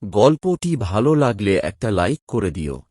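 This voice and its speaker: A man telling a first-person horror story in a hushed, dramatic way. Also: fairly low pitch, sing-song, unhurried, no room sound, clean studio sound. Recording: spooky music bed under narration.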